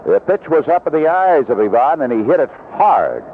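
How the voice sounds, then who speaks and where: A man's voice: a little high, sing-song, normal speed. A man calling a baseball game live as a play-by-play announcer, in 1970s radio commentary style from a ballpark. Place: outdoor ballpark broadcast booth. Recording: lo-fi vintage AM radio broadcast, heavy noise. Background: loud stadium noise.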